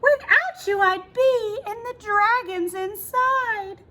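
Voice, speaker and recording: A woman voicing an excitable, warm-hearted witch from a storybook, shrill and exclaiming. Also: slow pace, full character voice. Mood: grateful, excited.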